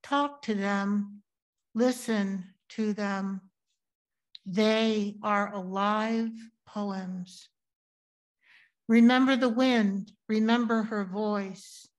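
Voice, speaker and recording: Woman reading a poem at church, slow and deliberate, leans on key words. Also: medium pitch, fairly even intonation, low-quality recording. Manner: deliberate, emphatic recitation. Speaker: reader at a church service. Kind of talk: poetry reading.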